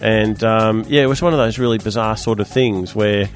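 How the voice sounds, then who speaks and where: A man hosting a technology radio show, chatting casually with a croaky, hoarse voice and rambling on animatedly. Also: fairly low pitch, faint music behind. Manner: rambling, animated, quick-talking.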